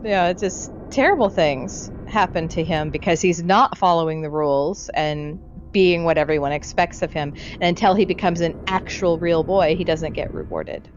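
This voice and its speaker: A woman's voice: lowish voice, normal speed, few pauses, a little hiss or hum. A woman co-hosting a casual discussion, thinking aloud in loose, trailing lists. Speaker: podcast host. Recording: dull lo-fi audio, music behind.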